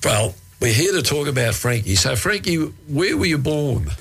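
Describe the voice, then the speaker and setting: A man's voice: fairly high pitch, sing-song, moderate pace, a bit bright, slightly rough. A man hosting a community radio interview, introducing his guest and prompting him with short, friendly acknowledgements.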